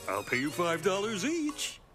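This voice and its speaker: A man's voice: sits high, huge pitch swings, unhurried, music in the background, full character voice. A man voicing an earnest, nostalgic suburban dad in a children's cartoon. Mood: persuasive.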